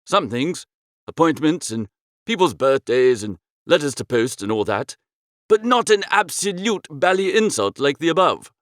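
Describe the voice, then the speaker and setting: A man narrating a comic first-person story in an expressive, breezy and theatrical way. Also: on the high side, normal speed, no room sound, studio quality. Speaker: audiobook narrator.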